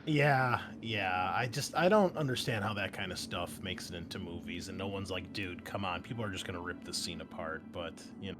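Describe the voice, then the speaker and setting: A man's voice: low voice, normal speed, few pauses. A man speaking as game master and host, chatting casually with enthusiasm and slang. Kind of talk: casual table chat and game recap. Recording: noticeable background noise.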